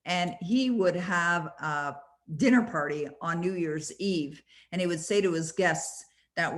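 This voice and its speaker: A woman hosting an online group call, teaching goal setting in an emphatic style that repeats key phrases. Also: on the low side. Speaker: host and success coach. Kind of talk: motivational webinar teaching.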